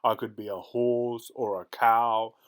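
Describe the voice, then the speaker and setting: A man's voice: fairly even intonation, clear, resonant tone, lightly acted. A man doing a roaring, boastful ogre. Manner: roaring, slow and booming. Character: fierce cruel boastful giant ogre.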